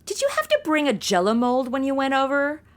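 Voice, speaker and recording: A woman's voice: sits high, huge pitch swings, brisk. A young woman playing a sassy, impatient modern twenty-something in a comedic audio drama, with a sarcastic, snarky delivery. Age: young adult. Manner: sarcastic, snarky, exaggerated reactions.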